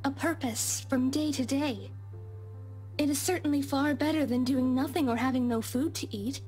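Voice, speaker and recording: Visual novel maid played by a woman: high-pitched, humble, hesitant, quaintly formal, faint music underneath. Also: moderate pace, darkish tone, close to an everyday voice. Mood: meek, wistful. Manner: formal, old-fashioned, hesitant. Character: meek, deferential young maid of a mansion. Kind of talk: visual novel game voice acting.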